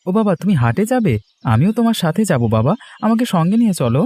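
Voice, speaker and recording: A performer playing an eager young village boy in an animated moral story, childlike and pleading. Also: mid-range voice, fast talker.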